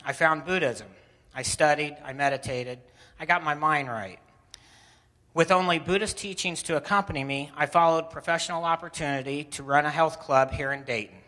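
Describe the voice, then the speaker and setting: A man giving a prepared spoken personal narrative in a measured, declarative way, phrase by phrase. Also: fairly high pitch, sharp tone. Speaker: speaker telling a personal faith story. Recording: echoey room, distant mic, clear background noise.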